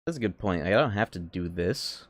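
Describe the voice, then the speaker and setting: A man's voice: sits low, expressive, moderate pace, dry recording. A man giving casual, rambling live commentary while he plays a game, thinking aloud and swearing freely. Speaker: game livestreamer. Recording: music behind the voice. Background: game music.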